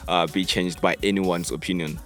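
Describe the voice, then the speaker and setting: A man interviewing a singer in an encouraging way, often affirming her answers and filling pauses with "you know" and "like". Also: deep voice, steady tone, fairly bright tone, faint music behind. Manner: encouraging, with many fillers and affirmations. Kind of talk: phone interview.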